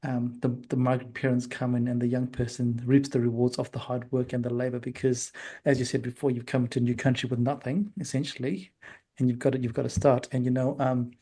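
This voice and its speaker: A man's voice: mid-range voice, level tone, a little fast, mellow, breathy edge. A man hosting a podcast, interviewing in an informal, conversational and affirming way. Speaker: podcast host.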